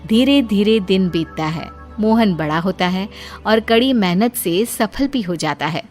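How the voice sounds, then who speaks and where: A woman's voice: medium pitch, animated, a little slow, darkish tone, soft background music. A woman reading a scripted story as its narrator.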